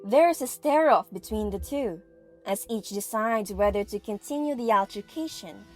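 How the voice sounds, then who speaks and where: A woman narrating an audio play in a theatrical, suspenseful manner, pausing for effect. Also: medium pitch, animated, darkish tone. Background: music.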